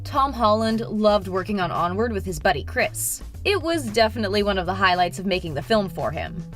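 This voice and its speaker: A woman's voice: mid-range voice, lively intonation, a little fast, faint music behind. A woman reading scripted narration for an entertainment countdown video.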